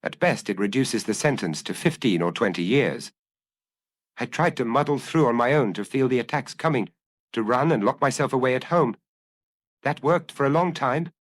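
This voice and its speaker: A man's voice: medium pitch, normal speed, no room sound, close to an everyday voice. A man voicing an anguished young killer who earnestly confesses and analyzes his compulsion in a dramatic audiobook reading. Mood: desperate.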